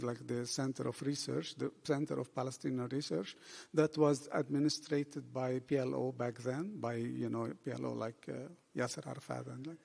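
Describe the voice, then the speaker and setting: Gravelly man with hall reverb. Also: medium pitch, a little mellow. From Lebanon. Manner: earnest, halting, many "you know" and "like" fillers. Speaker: panel speaker. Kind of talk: panel talk at a book event. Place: bookshop event room.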